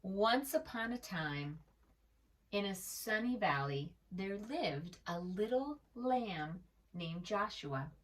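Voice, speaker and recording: Animated, unhurried woman reading a storybook. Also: mid-range voice, recorded from a distance, a little hiss or hum. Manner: expressive, gentle, asks the listener questions. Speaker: children's storyteller. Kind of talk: storybook read-aloud for preschoolers.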